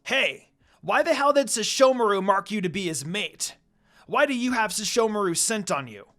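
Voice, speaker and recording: A man performs a brash young half-demon from a fan story. He is hot-headed and argumentative, pitched high and very animated, exclaiming loudly. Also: moderate pace. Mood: indignant, angry.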